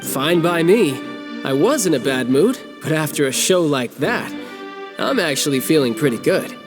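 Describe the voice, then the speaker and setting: An actor playing a cocky young rogue in an anime game, breezy, playful and theatrical. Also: male voice, on the high side, very animated, normal speed, music in the background. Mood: smug amusement.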